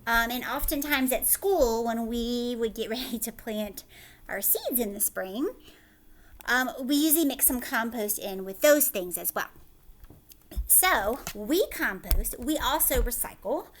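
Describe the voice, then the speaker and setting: Higher-pitched woman teacher reading to children. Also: lively intonation, measured pace. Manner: simple child-directed talk with frequent check-in questions. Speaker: teacher reading a picture book aloud to children. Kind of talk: children's read-aloud with chatty explanatory asides.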